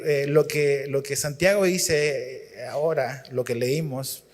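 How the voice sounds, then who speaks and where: A man from Chile, preaching as a guest and reading scripture in a relaxed, halting way full of fillers and restarts. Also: on the high side, long reverb tail.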